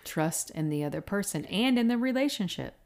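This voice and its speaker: A middle-aged woman co-hosting a casual podcast, agreeable and quick with short affirming replies. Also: medium pitch, expressive, darkish tone. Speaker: podcast co-host.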